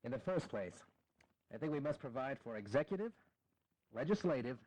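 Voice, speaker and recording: An actor playing a confident, scheming eighteenth-century statesman with a measured, precise, courteous manner in a historical audio drama. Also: mid-range voice, animated, a little mellow, dry recording, close to an everyday voice, poor audio. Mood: assured.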